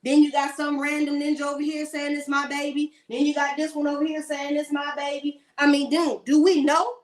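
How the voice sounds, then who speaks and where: A woman's voice: sits high, little pitch movement, brisk. A woman in her late thirties giving blunt, sassy celebrity gossip commentary in a rambling rant. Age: 30s.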